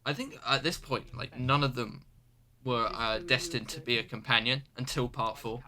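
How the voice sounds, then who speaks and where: A man's voice: mid-range voice, moderate pace, a crowd in the background. A man in casual commentary chat, joking and teasing with laughter. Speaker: actor and co-host of commentary.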